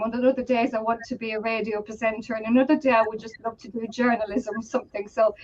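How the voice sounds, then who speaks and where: A woman's voice: fairly high pitch, lo-fi sound. An Irish woman, a guest medium, chatting in a rambling conversational way and laughing easily. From Ireland. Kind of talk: casual conversational storytelling.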